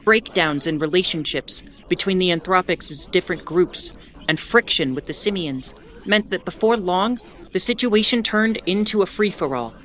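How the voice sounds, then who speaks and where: A woman's voice: on the low side, lively intonation, normal speed, fairly bright tone. A woman playing a wry, defiant bulletin host aboard a spaceship, narrating a scripted audio drama in a conversational, explanatory way. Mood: solemn, storytelling. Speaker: host of an in-world radio bulletin.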